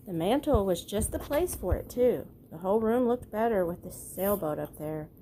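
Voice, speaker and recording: A woman's voice: medium pitch, animated, normal speed, warm tone, slight background noise, low-quality recording. A woman reading a children's storybook aloud at bedtime, as the narrator. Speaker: storybook reader.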